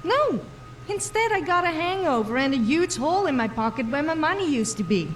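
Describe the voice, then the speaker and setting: A woman's voice: high voice, big pitch swings, brisk, faint music behind. A woman playing an irreverent, boozy young female video game follower with petulant, sarcastic complaining. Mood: sarcastic griping.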